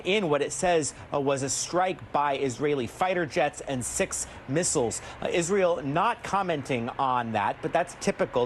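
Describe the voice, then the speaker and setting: A man delivering a field news report, steady and measured, with frequent hesitation fillers. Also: on the high side, slight background noise. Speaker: news correspondent.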